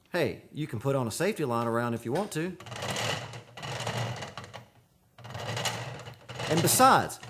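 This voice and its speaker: A man's voice: a little high, noisy background. A man playing an impatient, practical drilling crew boss in a radio drama, brusque and slangy.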